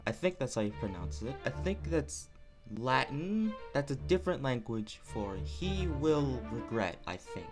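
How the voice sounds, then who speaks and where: A man's voice: medium pitch, very animated, unhurried. A young adult man giving casual, self-deprecating reaction commentary as a video creator. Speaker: video creator reacting to his own series. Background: acoustic guitar music.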